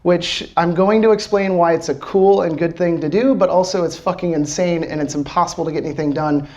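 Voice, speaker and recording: A man's voice: fairly high pitch, steady tone, a little fast, a little mellow, lo-fi sound. An American man from Washington, D.C., a startup founder giving a casual live presentation to a small audience, talking loosely with lots of fillers and casual swearing. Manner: loose and chatty, many fillers, swears freely.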